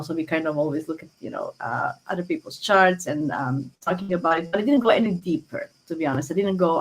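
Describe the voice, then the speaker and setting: A woman's voice: on the low side, rough recording. A woman in her forties with a Croatian accent, talking casually as an interview guest, often repeating words and restarting, over a phone on a video call. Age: 40s. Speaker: guest astrologer. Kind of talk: casual interview talk, telling personal story. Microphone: phone app on a video call.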